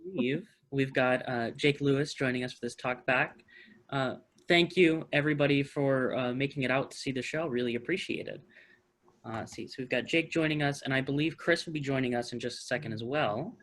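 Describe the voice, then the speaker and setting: A man's voice: mid-range voice, fairly quick, fairly bright tone. A man hosting an informal post-show talk in a polite, hesitant conversational way. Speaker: talkback host. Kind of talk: informal moderated talkback.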